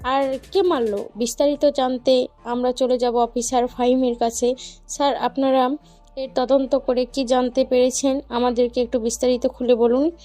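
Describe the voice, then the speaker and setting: A woman's voice: high voice, little pitch movement, normal speed, warm tone, faint music behind, lightly acted. A woman playing a young female television news reporter in a dramatized audio story. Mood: formal, presenting.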